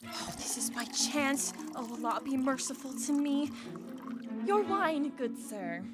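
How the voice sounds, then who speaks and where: A woman plays a shrewd slave girl in a radio play. She is formal, deferential and watchful, with a fairly high voice over clearly audible music. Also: sing-song, a little slow. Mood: urgent. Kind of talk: radio drama acting.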